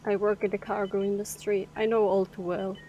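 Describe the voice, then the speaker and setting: A frank female cargo captain, played by an actress in an audio drama, with a mid-pitched voice over faint background noise. She sounds matter-of-fact and a little rueful, like someone who knows rough trade. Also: level tone, a little fast, dark tone, lo-fi sound, close to an everyday voice.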